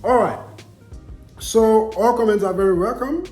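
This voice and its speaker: A man's voice: high voice, measured pace, darkish tone, roomy sound, faint music behind. A young Ghanaian man with a Ghanaian accent, vlogging casually and answering viewer comments in an emphatic way, repeating himself and asking rhetorical questions.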